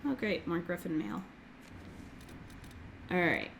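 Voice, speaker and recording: A young woman hosting a game let's play, slightly hoarse as she recovers from a throat infection, chatting casually and reading game text aloud. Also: on the low side, big pitch swings, fairly bright tone, slight background noise. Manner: reads game text aloud quickly, filler-heavy. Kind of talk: casual gameplay commentary.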